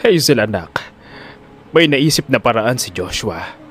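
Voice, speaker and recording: A man's voice: medium pitch, animated, faint music behind, pitched below the performer's own voice, close to an everyday voice. A man voicing a scheming middle-aged father who feigns weak, pleading grief in an audio drama. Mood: coaxing. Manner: weak, slow, pleading delivery.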